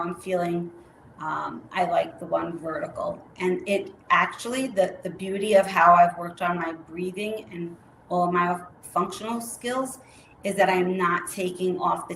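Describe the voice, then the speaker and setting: A woman guest answering interview questions in a measured, explanatory way, with some fillers. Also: fairly low pitch, gravelly. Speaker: guest, myofunctional therapist. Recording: roomy with faint noise.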